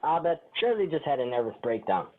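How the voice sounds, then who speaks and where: A man's voice: a little high, big pitch swings, a little fast. A man playing a sarcastic, dismissive sitcom group leader with a dry delivery in a table read. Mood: sardonic, bossy. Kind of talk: sitcom script read aloud. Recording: thin phone-like sound.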